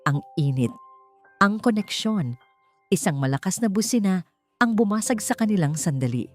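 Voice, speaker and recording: A woman's voice: mid-range voice, lively intonation, moderate pace. A woman reading a scripted love story as a dramatic narrator. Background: faint music.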